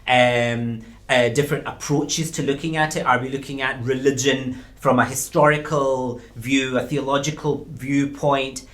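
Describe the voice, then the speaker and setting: A man, a university lecturer as interview guest, speaking in a thoughtful, measured way with pauses. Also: medium pitch, steady tone, fairly bright tone. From Scotland. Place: small studio.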